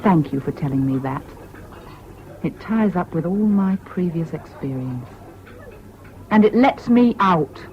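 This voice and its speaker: A woman's voice: fairly low pitch, animated, a little slow, long pauses. An actress playing a bitter, scornful, fiercely independent British woman in a 1970s radio drama, with a cutting, sardonic manner. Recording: dull, noisy old radio recording.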